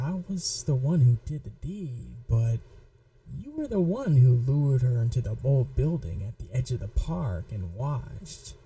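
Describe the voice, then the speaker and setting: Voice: medium pitch, dark tone, far from the mic, exaggerated voice, rough recording. A performer voicing a possessive, manipulative young yandere in an ASMR roleplay, taunting and coldly controlled. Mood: gloating. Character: possessive, manipulative yandere childhood friend, menacing young blackmailer. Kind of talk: scripted ASMR roleplay audio drama.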